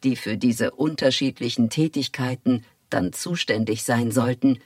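A man's voice: medium pitch, a little slow, darkish tone, dry recording, clean studio sound. A man giving a reflective narration.

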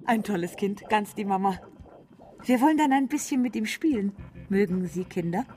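A woman's voice: medium pitch, expressive, normal speed, dark tone, a little hiss or hum, close to an everyday voice. Woman doing a bossy gushing mom in a radio play, coarse and teasing, doctor's wife. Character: fat, gluttonous, coarse and playful doctor's wife and mother. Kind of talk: radio drama dialogue.

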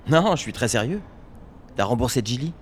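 A man's voice: mid-range voice, big pitch swings, normal speed, slight background noise, natural voice. A man playing a weary, sardonic young factory worker in an audio drama, with a mocking, crude way of talking. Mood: dry, teasing.

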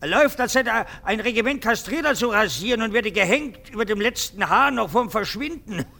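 An actor playing a pompous, sentimental and anxious army captain in a theatrical German radio drama. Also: male voice, sits high, animated, fairly quick, vocal fry, pushed and bright, exaggerated voice. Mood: loud mockery. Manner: theatrical, swinging from exclamation to insinuating murmur.

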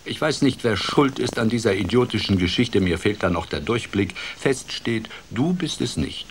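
Ein Mann, der in einer Kindergeschichte eine weise, gütige Eulendame spricht, besänftigend und bestimmt. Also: male voice, fairly low pitch, animated, slight background noise, voice pushed up. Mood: compassionate. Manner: soothing, measured, authoritative. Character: wise, calm female owl, kindly authority.

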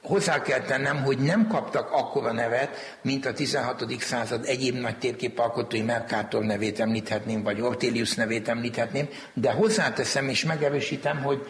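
A man being interviewed as a museum director, explaining history in formal, deliberate, scholarly phrasing. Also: mid-range voice. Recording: echoey, reverberant hall.

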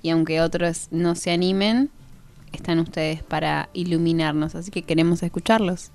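Lowish-voiced woman co-hosting radio. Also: steady tone, moderate pace, creaky, a little mellow. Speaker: radio co-host. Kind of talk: radio presenting, conversational.

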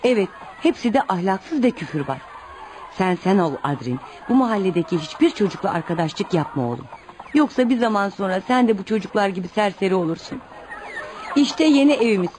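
A woman's voice: mid-range voice, expressive, a little fast, a little mellow, slight background noise, close to an everyday voice. An actress in a radio play playing a poor, devout widowed mother, protective and anxious, who speaks in an earnest, instructive way. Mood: explaining, cautioning. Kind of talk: radio theater acting.

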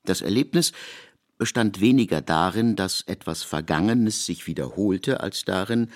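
A man reading literary narration for radio in a measured, articulate way. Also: low voice, expressive.

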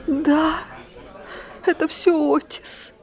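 An actress playing an anxious, long-suffering middle-aged aunt in an audio drama, speaking in a fretful, hesitant manner. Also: female voice, high-pitched, flat delivery, very slow, a faint crowd, heavily acted. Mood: haunted, anxious. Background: music.

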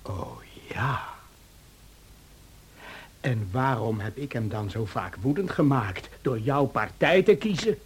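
An actor playing a gruff, proud, awkward young countryman with a blunt manner in a radio play, on a faintly noisy old recording. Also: mid-range voice, very animated, slow pace, pauses often. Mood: gruff, hurt.

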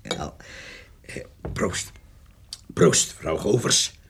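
An actor playing a sly, jovial country poacher with a husky voice and a folksy, drawling way of talking in a radio play. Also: male voice, a bass voice, big pitch swings, very slow, fairly bright tone, whispered, exaggerated voice. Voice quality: gravelly, husky. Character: sly, jovial old male poacher, gossipy country rascal. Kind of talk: radio drama acting.

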